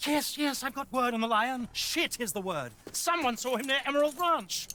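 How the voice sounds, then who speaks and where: A man playing a theatrical, shifty British circus showman in a video game, with a pompous, florid way of flattering. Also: very high-pitched, normal speed, a little hiss or hum, full character voice. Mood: exasperated, pleading.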